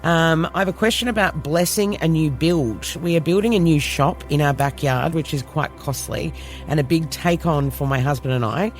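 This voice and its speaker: A man's voice: a little high, moderate pace, soft background music. A man from New Zealand co-hosting a casual podcast, joking around and reading listener messages aloud. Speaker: podcast co-host.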